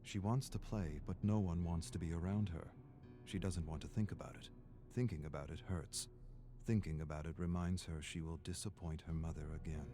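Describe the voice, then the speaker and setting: A man narrating a scripted story in a measured, dramatic storytelling manner. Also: sits low, steady tone, mellow. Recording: music under the voice. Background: background music.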